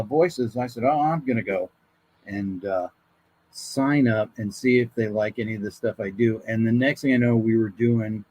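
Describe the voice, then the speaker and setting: Older American man telling animated stories. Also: mid-range voice, rough recording. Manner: animated, acts out quoted dialogue. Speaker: guest voice actor. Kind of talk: casual anecdotal storytelling.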